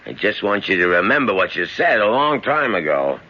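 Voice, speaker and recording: An actor playing a suspicious, tough escaped convict with streetwise, slangy speech in an old-time radio drama. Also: male voice, fairly low pitch, big pitch swings, a little fast, bright, forceful tone, heavily acted. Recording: muffled, noisy old radio recording.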